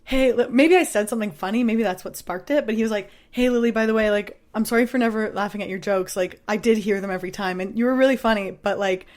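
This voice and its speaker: A woman comedian co-hosting a podcast, telling stories in casual chat in an animated, crass, quick-joking way. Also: mid-range voice.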